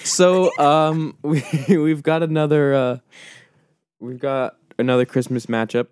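A man co-hosting a casual podcast, soft-spoken and a bit mumbly, with a laid-back delivery. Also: on the high side, fairly even intonation, takes it slowly, a little hiss or hum. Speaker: podcast co-host. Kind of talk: casual chat.

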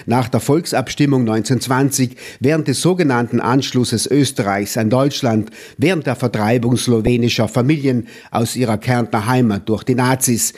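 A man reading scripted documentary narration in a measured, solemn way. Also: mid-range voice, a little mellow, husky.

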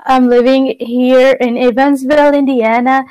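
A woman's voice: sits high, little pitch movement, unhurried, a little mellow, clear, resonant tone. A young woman from Gaza with a Palestinian Arabic accent, answering interview questions conversationally as a podcast guest. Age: young adult. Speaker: podcast guest, exchange student.

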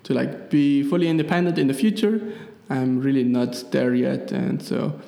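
A man's voice: mid-range voice, steady tone, moderate pace, vocal fry, mellow. A young man from Switzerland with a Swiss German accent, a guest chatting casually and hesitantly in an interview. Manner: hesitant, many fillers and restarts, laughs easily. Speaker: guest, app developer. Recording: echoey room with long reverb.

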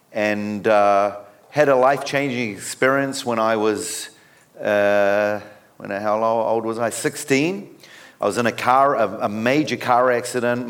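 A man with a New Zealand accent preaches as a guest speaker, his pitch sitting in a middle range. He talks slowly and haltingly, with many pauses, fillers and restarts. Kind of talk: sermon.

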